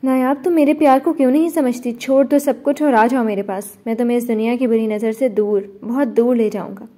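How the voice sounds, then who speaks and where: A woman's voice: on the high side, steady tone, a little fast, mellow, close to an everyday voice. A woman voicing a young man who recites a dramatic, pleading romantic speech, read in her own voice. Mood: theatrical. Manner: dramatic, pleading lover's delivery.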